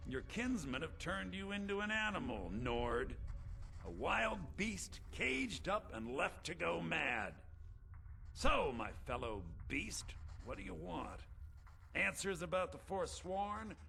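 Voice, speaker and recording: A man's voice: high voice, big pitch swings, takes it slowly, creaky, sharp tone, heavily acted. An actor playing a sardonic, commanding imprisoned rebel king in a fantasy video game, theatrical and taunting. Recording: faintly noisy game capture.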